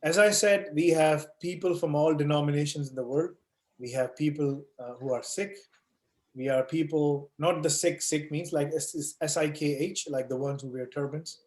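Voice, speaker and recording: A man with a slightly high voice talks students through course basics on a video call. He leans on homely examples and keeps asking "right?" to check he is followed. The sound is roomy and echoey. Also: moderate pace, darkish tone. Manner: explains with everyday analogies, frequent "right?" and "you know". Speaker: online course instructor answering questions. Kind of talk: live online Q&A session. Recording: echoey room.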